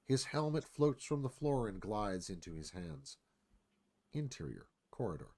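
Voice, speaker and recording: A man's voice: fairly low pitch, sing-song, a little slow, a little mellow. A man reading dramatic scripted narration as screenplay narrator, in clipped phrases.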